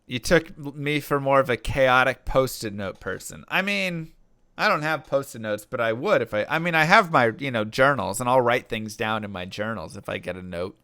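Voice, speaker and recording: Guy on a live stream reading chat and rambling, bright crisp voice, dry close mic. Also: medium pitch, animated, moderate pace, dry recording. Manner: rambling, lots of fillers and restarts, reads chat messages aloud. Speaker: streamer and rapper.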